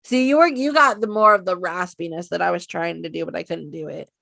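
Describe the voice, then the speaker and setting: A woman co-hosting a casual fan chat, relaxed and encouraging. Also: fairly low pitch, a little fast, gravelly, no room sound, studio quality. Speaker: co-host.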